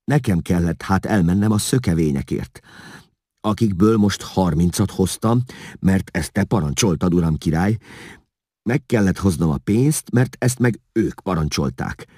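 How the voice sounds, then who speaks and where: A man acts out a cowed, self-pitying interpreter from a historical storybook. The voice climbs well above a deep natural register and swings as it pleads and justifies itself tearfully. It is recorded close and dry. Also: lively intonation, dark tone, no echo. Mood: relieved, self-justifying. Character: whining, fearful male interpreter confessing to a king, self-pitying.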